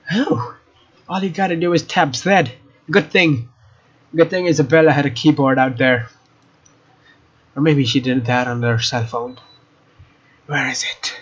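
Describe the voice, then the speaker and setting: A man's voice: mid-range voice, takes it slowly, pauses often, a bit bright, breathy edge, dull audio. A man narrating a let's play, reading game text aloud and adding informal, joking asides. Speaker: let's player. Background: game music.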